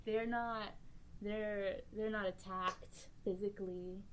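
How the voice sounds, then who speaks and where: A woman's voice: medium pitch, fairly even intonation, slow, slight background noise. A woman who writes for a podcast, talking earnestly in a casual round table, laughing easily and using many fillers.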